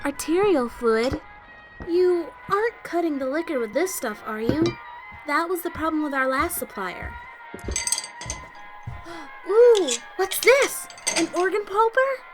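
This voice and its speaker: Squeaky, plucky young woman, played by an actress. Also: sing-song, takes it slowly, over music, heavily acted. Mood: curious. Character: plucky, chatty young cat woman, bootlegger's girl. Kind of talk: audio drama acting.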